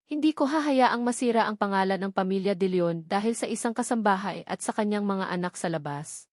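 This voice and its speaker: A woman's voice: medium pitch, fairly quick, dry recording, clean studio sound, close to an everyday voice. A woman voicing a haughty, angry rich mother in a story, stern and cutting. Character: haughty, angry wealthy matriarch, scornful mother.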